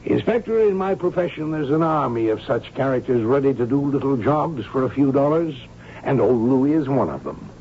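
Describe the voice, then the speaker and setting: Brief: genial retired male crook, older, teasing and courtly, swooping gravelly delivery, vintage radio play. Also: medium pitch, sing-song, normal speed. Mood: wry. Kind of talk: radio drama acting. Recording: dull, noisy old radio broadcast.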